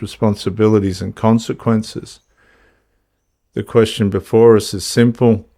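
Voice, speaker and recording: A man hosting the show, with a low voice that stays flat and even. He reads a scripted opening, then keeps interrupting his guest to argue his own points. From Australia. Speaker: host.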